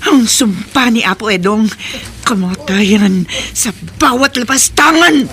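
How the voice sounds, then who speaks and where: A woman's voice: on the high side, expressive, measured pace, fairly bright tone. An actress playing a solemn, vengeful woman guarding a cursed cave in a horror radio drama. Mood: menacing, vengeful.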